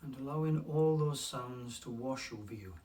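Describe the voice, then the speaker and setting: Distant-miked man guiding a meditation. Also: mid-range voice. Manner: calm, unhurried, with long pauses. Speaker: meditation guide. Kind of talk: guided mindfulness meditation. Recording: distant mic with faint room noise.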